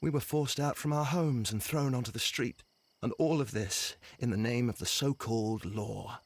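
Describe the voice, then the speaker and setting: An actor as a defiant rebel leader in a video game, a man with a medium-pitched voice. He sounds embittered and speechifying, recorded dry and close. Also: dry recording, close to an everyday voice. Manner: measured, oratorical, defiant.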